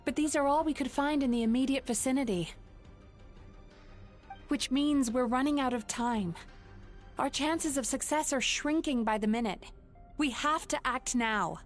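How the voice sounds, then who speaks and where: A woman voicing a game heroine, speaking in an earnest, calm and steady way. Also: high voice, normal speed, pauses often, faint music behind, close to an everyday voice. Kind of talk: game voice acting.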